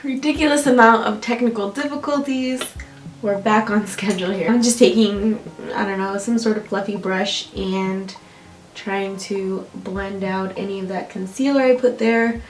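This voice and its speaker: A woman's voice: medium pitch, fairly even intonation, moderate pace. A young American woman with a congested, nasal voice, chatting casually and self-deprecatingly through a makeup tutorial as a beauty vlogger. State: congested from allergies. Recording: roomy echo, distant mic, faint music. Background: music.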